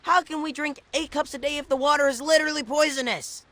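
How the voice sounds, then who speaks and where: An actor playing a nerdy, earnest teenage mutant turtle boy, wordy and animated, in a video game cartoon. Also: extremely high pitch, quick pace, no echo, exaggerated voice. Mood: earnest pleading.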